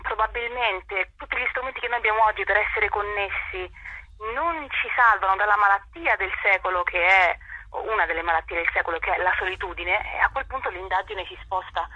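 A woman's voice: medium pitch, normal speed, sharp tone, slightly rough, no room sound, highs cut off. A woman in a conversational interview, explaining as an actor and often breaking into chuckles. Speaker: actor interviewed about a stage show.

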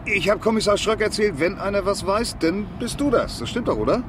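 An actor playing an eager, chummy junior police detective who rambles colloquially in a German radio crime comedy. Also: male voice, sits high, expressive, a little fast. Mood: explaining. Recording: faint outdoor city traffic noise.

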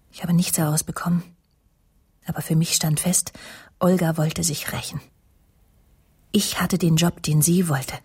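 A low-pitched female voice a prickly, brooding career woman in a radio drama. She is clipped and defensive, and confides her suspicions like private thoughts. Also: darkish tone, breathy edge. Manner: indignant, clipped, confiding in inner monologue. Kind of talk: radio play dialogue and first-person narration.

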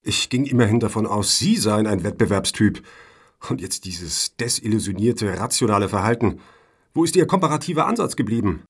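A man's voice: fairly low pitch, expressive, a little fast, husky. A man acting in a radio satire as a pedantic, suspicious foundation juror, officious and wordy. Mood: suspicious, indignant.

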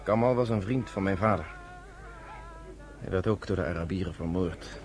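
An earnest young underground fighter in a radio drama. His voice sits fairly low, and he speaks with measured self-assurance, as if setting out the facts. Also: over music. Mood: grave. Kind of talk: Dutch radio play acting.

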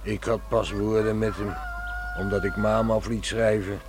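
A man's voice: lowish voice, level tone, moderate pace, natural voice. An actor playing an easygoing, teasing village man in a Dutch radio play, chatty and down-to-earth. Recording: noisy old radio play recording.

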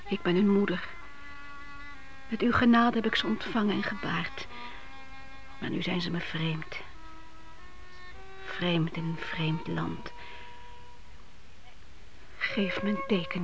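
A woman's voice: medium pitch, low-quality recording. An actress playing a devout, stubborn widowed mother in a radio play, speaking in a solemn, imploring, emphatic way. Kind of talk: radio drama acting.

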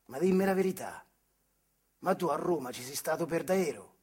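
An actor playing a stubborn, hot-tempered young factory worker and boxer, blunt and colloquial, with a central Italian accent from the Marche, in a stage monologue. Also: male voice, fairly high pitch, expressive, brisk, darkish tone, slightly rough. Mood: eager, curious. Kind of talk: theatrical monologue dialogue.

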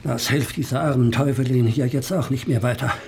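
An actor playing a stern, exasperated police inspector in a radio drama, sharp and demanding. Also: male voice, medium pitch, fairly even intonation, brisk, gravelly, background noise, lightly acted.

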